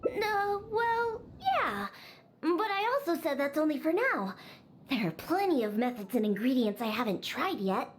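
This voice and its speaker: A woman's voice: high-pitched, big pitch swings, measured pace, a little hiss or hum. A woman voicing a bossy, proud young cat-girl bartender in a video game, sassy and scolding. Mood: proud, insistent. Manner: sassy, boastful, matter-of-fact scolding.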